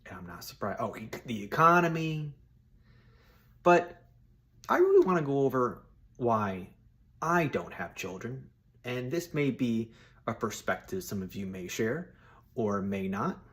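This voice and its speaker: A man's voice: mid-range voice, very animated, measured pace, long pauses, a bit bright. A man in his thirties chatting casually and bluntly to his viewers, with informal, buddy-like phrasing. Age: 30s. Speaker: solo video host giving personal opinions.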